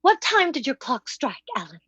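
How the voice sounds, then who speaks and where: A young heroine in love , acted by a woman, speaks in a high, breathless voice. She is earnest and formal in old-time radio drama style, and the sound is dry and a little dull. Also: sing-song, moderate pace, a bit bright, no echo. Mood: wondering.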